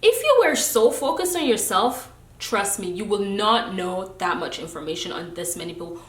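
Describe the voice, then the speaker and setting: A young woman vloggs a candid rant, moving from measured musing to emphatic, preachy bursts. Her voice sits in the middle of the range. The room around her is bare and echoey. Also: medium pitch, expressive. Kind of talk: candid casual rant to camera.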